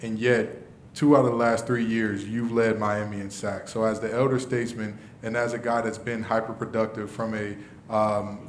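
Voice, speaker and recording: A man's voice: fairly low pitch, a little hiss or hum. Wordy male reporter in a roomy hall. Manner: long, wordy questions. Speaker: sports reporter. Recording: roomy press room.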